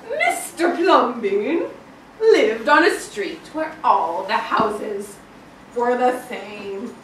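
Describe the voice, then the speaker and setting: A woman primary school teacher reading a picture book aloud to a young class with expressive, emphatic storytelling. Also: fairly high pitch, drawn-out words. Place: indoor classroom, children present. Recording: echoey classroom from far off, some noise.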